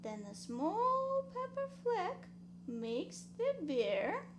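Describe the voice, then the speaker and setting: A woman's voice: extremely high pitch, huge pitch swings, extremely slow, background noise. A woman reading a children's story aloud in a rhythmic, dramatic sing-song way. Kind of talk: expressive children's read-aloud.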